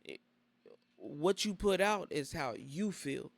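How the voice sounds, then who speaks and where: A male host leads a casual podcast interview in a gravelly, fairly high voice. He rambles and keeps repeating filler phrases. The sound is dry and close. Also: animated, takes it slowly, dry recording. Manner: rambling, repeats "you know what I'm saying".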